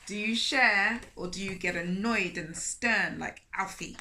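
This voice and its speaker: A woman reading a picture book aloud to young children, animated and speaking to them directly with questions. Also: mid-range voice, measured pace, slight background noise. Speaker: children's storyteller, host of a storytime series.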